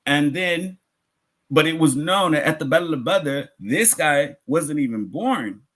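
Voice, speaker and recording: A man's voice: fairly high pitch, lively intonation, unhurried, a bit bright. A man giving a religious lecture as a teacher, conversational, posing rhetorical questions and rephrasing his points.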